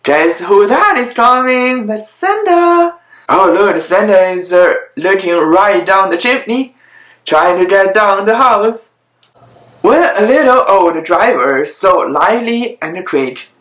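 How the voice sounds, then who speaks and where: A storyteller with a mid-range voice reads a children's story aloud at an unhurried pace. The delivery is halting and deliberate, with plain off-the-cuff commentary. The recording is roomy and echoing. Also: mid-range voice, fairly bright tone. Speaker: host reading children's stories aloud. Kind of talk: read-aloud of children's Christmas stories with off-the-cuff asides.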